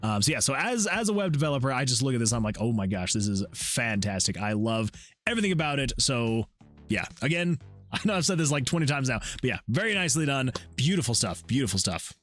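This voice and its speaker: A man's voice: mid-range voice, big pitch swings, quick pace, a bit bright, faint music behind. A man streaming his indie game development, chatting in a loose, effusive way and often repeating his praise. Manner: effusive, rambling, repeats himself. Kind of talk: casual livestream chat.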